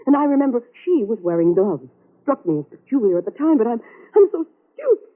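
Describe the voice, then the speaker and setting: An actress playing an earnest, anxious, self-reproaching young woman in 1940s radio drama, husky and hesitant. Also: on the high side, very animated, fast talker, narrow, tinny audio. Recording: band-limited old radio recording.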